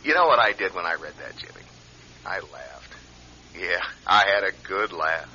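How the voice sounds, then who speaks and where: An actor playing a smug, middle-aged blackmailer with a needling, falsely chummy manner in a classic radio suspense play. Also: male voice, low voice, expressive, bright, forceful tone, heavily acted. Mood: taunting. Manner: drawn-out, needling, mock-friendly. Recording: dull lo-fi radio, faint noise.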